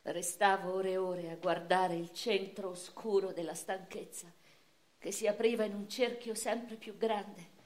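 An actress playing a weary, passionate Neapolitan noblewoman revolutionary, delivering an intense, theatrical radio monologue with deliberate pauses. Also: medium pitch, fairly even intonation, lightly acted. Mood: grave, weary. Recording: roomy echo with music underneath.

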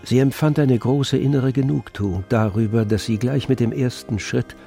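Gravelly male radio-play narrator. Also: mid-range voice, moderate pace, mellow. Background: music.

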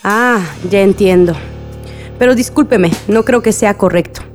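A principled Mexican village mother, acted by a woman in a radio soap opera. She is formally polite but firm and unyielding, at a middle pitch, with faint music behind. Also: measured pace, lightly acted. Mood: firm, indignant. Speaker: radio drama actor.

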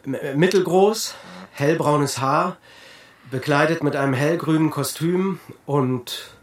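Anxious, hesitant husband, roomy sound. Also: male voice, fairly high pitch, slow. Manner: hesitant, stammering, urgent. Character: anxious husband searching for his missing wife. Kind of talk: German radio drama acting. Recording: roomy sound with faint noise.

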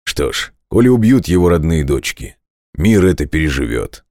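Mighty ageless god for a storybook played by a man: extremely low, weighty, authoritative, dry sound. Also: very animated, mellow, dry recording. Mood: grudging. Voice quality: very deep, resonant, clearly enunciated. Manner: weighty, commanding, deliberate. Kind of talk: audio fairy-tale character acting.

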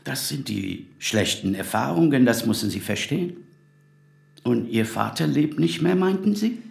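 A man acting a courteous, grave middle-aged exile in a naturalistic radio drama, gravelly and measured. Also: mid-range voice, expressive, darkish tone, roomy sound. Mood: grave, sorrowful. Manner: polite, measured.

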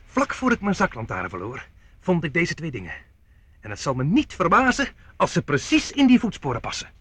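An actor playing a brash, wry, swaggering adventurer in a radio drama. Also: male voice, on the high side, wildly swooping pitch, fairly quick, fairly bright tone, husky, dry recording, heavily acted. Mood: animated, pleased. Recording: noisy old radio play recording.

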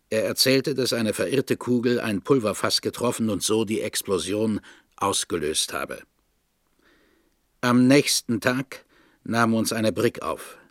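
A man acting a remorseful older father who narrates his confession in a radio drama, solemn and measured. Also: medium pitch, dry recording, studio quality.

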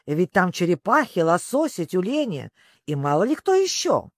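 A storybook reader acts out a weepy old-fashioned sea creature, sentimental and easily excited. The plaintive voice swoops widely and can tip from sobs into squeaks. The sound is close and dry. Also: normal speed, dry recording. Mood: tearful protest. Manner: sobbing, plaintive, breaking into squeaks and yells.

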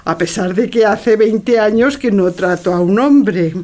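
A woman's voice: mid-range voice, a little slow, darkish tone, lightly acted. An actress playing an effusive, scheming middle-aged mother in a theatrical farce, affected and full of exclamations. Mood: gushing, affected. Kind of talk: theatrical farce audio drama.

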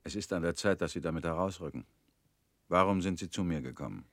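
An actor in a radio play playing a calm former prisoner who questions in a cool, probing, slightly ironic way. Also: male voice, low-pitched, fast, dry recording.

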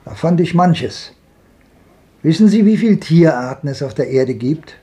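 An actor playing a world-weary old wanderer in a 1950s German radio drama, reminiscing in a lyrical, declamatory way. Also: male voice, a little high, expressive, unhurried, warm tone. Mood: musing. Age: older. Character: world-weary old wanderer looking back on a hard life.

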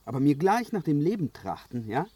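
Brash, animated young hero. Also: male voice, on the high side, brisk, husky. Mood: blunt suspicion. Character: bold, blunt young male dragon-slaying hero. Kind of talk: German fantasy radio play acting.

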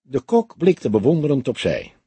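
Crime audiobook narrator: man, markedly husky, varied intonation, dry studio-style sound. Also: mid-range voice, huge pitch swings, moderate pace, dark tone, dry recording. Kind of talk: scripted crime novel narration.

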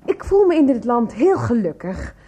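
Radio play role: prickly, opinionated woman, high voice, lively swooping pitch, tart and sarcastic. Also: moderate pace. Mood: tart, sceptical. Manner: tart, interrupting, contrary.

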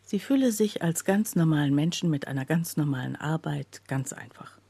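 An actress playing an earnest working woman who narrates a literary radio play in a husky voice. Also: low-pitched, expressive, normal speed, dark tone, no room sound, exaggerated voice. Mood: narrating. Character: earnest working woman recounting her factory's closure.

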